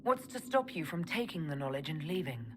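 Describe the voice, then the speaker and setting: A woman playing a sharp, assertive elf mage leader in a video game, direct and challenging in manner. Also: low-pitched, very animated, fairly quick, noisy background. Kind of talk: video game dialogue acting.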